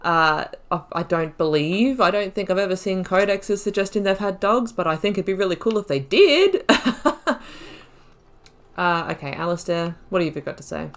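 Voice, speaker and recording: A woman's voice: on the low side, animated, moderate pace. A woman hosting a playthrough with casual commentary, reflectively thinking aloud as she goes. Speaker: gaming channel host. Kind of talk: casual let's play commentary.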